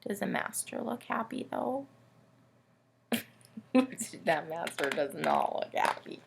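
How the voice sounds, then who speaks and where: Woman hosting story time, very creaky voice. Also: medium pitch, very animated, slow pace. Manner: simple, encouraging, speaking directly to children. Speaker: children's read-aloud host. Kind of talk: story time talk addressed to young children.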